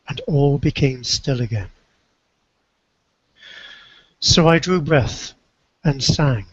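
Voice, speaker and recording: Husky man reading a reflective story, dull recording. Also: a little high, no echo. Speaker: co-reader of a book. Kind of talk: first-person literary narration read aloud.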